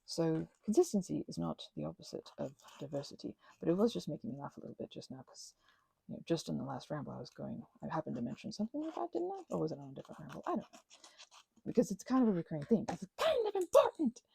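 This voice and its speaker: Breathy, creaky woman rambling softly. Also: low-pitched, very animated, quick pace, dry recording, rough recording. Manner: self-deprecating, playfully sarcastic, tangent-prone, talks to herself and objects. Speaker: channel host. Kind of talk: rambling casual ASMR craft chat.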